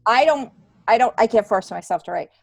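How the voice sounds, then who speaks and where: A middle-aged woman talking casually and candidly as an interview guest, telling stories in an animated way and acting out bits of dialogue. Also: on the high side, brisk, vocal fry, no room sound, clean studio sound. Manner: animated storytelling, acts out remembered dialogue. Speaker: comedian and TV worker, podcast guest.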